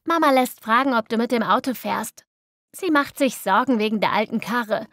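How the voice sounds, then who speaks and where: A woman's voice: a little high, moderate pace, a bit bright, dry recording, clean studio sound. A woman playing an obliging, slightly exasperated daughter passing on messages in an acted language-learning dialogue. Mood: mildly exasperated.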